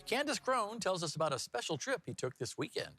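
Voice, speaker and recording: A man's voice: high-pitched, big pitch swings, fairly quick, loud music behind the voice. A man working as a TV news anchor, mixing broadcast news delivery with casual, jokey banter. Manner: jokey, punning.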